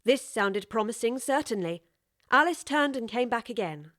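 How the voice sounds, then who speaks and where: A woman narrating a scripted storybook audiobook in a measured, articulate way. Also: fairly high pitch, expressive, a bit bright, no room sound, clean studio sound.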